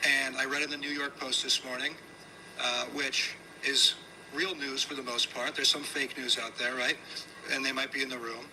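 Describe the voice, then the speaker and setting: A man's voice: mid-range voice, normal speed. Casual male interviewer in a noisy room. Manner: casual, flattering, chatty. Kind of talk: onstage conversational interview. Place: room with a live audience.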